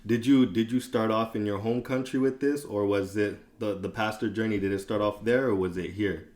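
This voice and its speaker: A man's voice: on the low side, fairly even intonation, a little fast, a little mellow. A man hosting a casual podcast interview, asking questions loosely and repeating words as he starts. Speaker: podcast host.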